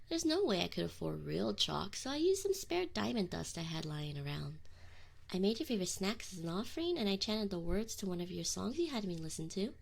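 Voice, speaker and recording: A woman's voice: medium pitch, creaky. A woman voicing a teasing, flustered but affectionate succubus in an intimate ASMR roleplay, close to the microphone with whispered asides. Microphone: close binaural microphone.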